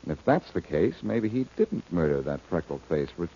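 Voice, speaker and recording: Dark-voiced, animated radio detective. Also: male voice, sits low, normal speed, slight background noise. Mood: musing, urgent. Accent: American. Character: confident wisecracking amateur sleuth, male, brisk and commanding. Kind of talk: 1940s radio detective acting. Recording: dull old radio recording.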